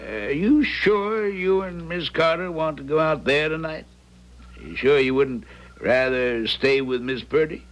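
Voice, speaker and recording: A man's voice: a little high, a little slow, a bit bright. An actor playing a polite, concerned small-town man in a 1970s radio drama, hesitant and plain-spoken.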